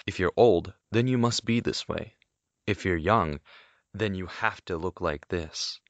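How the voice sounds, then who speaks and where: A man presenting an audio drama series, reading a scripted disclaimer in a measured, emphatic way. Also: low-pitched, dry recording.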